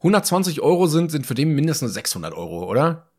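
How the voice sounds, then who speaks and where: A man co-hosts a relaxed podcast conversation, with a voice sitting somewhat high. He chimes in with dry jokes and quick asides. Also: husky. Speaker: podcast co-host. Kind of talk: casual chat.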